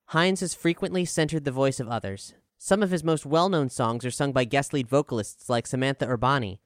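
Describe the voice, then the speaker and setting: Clear-voiced man reading a scripted review. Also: on the high side, no room sound, clean studio sound. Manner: measured, articulate, formal delivery. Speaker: narrator reading an album review.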